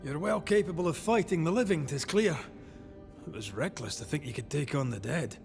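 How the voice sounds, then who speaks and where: An earnest Scottish ghost hunter in a game cutscene. His voice is on the high side and swings widely as he talks, plain and eager. Faint music runs underneath. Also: expressive, a little fast, vocal fry. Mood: frank. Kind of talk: video game cutscene acting.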